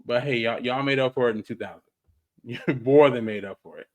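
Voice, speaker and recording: A man around forty, co-hosting a sports podcast in an easygoing way, quick to agree and laugh along. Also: medium pitch. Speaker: podcast co-host. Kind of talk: casual sports chat.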